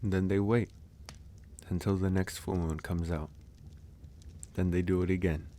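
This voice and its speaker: A man playing a calm, candid, easygoing werewolf in an intimate ASMR audio roleplay, musing unhurriedly with sighs and pauses. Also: sits low, a little hiss or hum. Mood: musing, storytelling.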